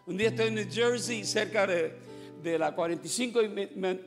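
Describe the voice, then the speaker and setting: Give me a middle-aged preacher with a gravelly, high voice. He tells his testimony in a joking storyteller's way and mixes in English. A guitar plays behind him. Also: fairly quick. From Puerto Rico. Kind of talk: comic testimony sermon. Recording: guitar music playing behind.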